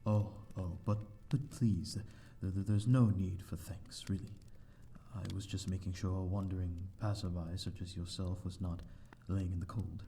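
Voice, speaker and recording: A man's voice: low-pitched, steady tone, normal speed, warm tone, a little hiss or hum. A man playing a courteous, lonely vampire host in an ASMR audio roleplay, speaking in a formal, flowery, slightly flustered and hesitant way. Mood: gentle, reassuring. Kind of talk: ASMR audio roleplay, scripted character acting.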